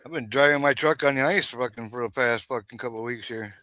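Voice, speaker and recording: A man's voice: medium pitch, quick pace, sharp tone, no room sound. A man on a sports panel, chatting casually, teasing and swearing. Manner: profane, teasing.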